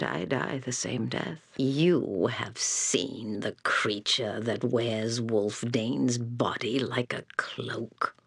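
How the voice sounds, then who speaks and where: A woman playing a blind old seer in a fantasy video game, fearful and fatalistic, speaking solemnly and cryptically in a breathy, raspy voice with long pauses. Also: rumbling low, full character voice. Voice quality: breathy, raspy, whispery creaking voice. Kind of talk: video game character acting.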